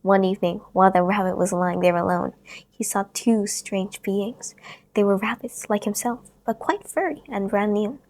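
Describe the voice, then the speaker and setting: A woman reads a children's storybook aloud, gentle and expressive. Her voice sits at an ordinary middle pitch, and now and then she lowers it to a near-whisper to draw the listener in. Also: normal speed. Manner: gentle, expressive read-aloud, sometimes hushed. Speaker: storybook reader.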